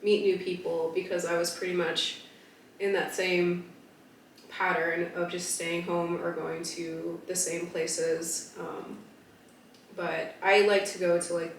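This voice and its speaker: A woman co-hosting a podcast in casual chat, her voice still deep from a cold, hesitant and giggly. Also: level tone, takes it slowly, distant mic. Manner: hesitant, many restarts, giggly. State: recovering from a cold, voice deeper. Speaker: podcast co-host. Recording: echoey room, voice a bit off-mic, faint noise.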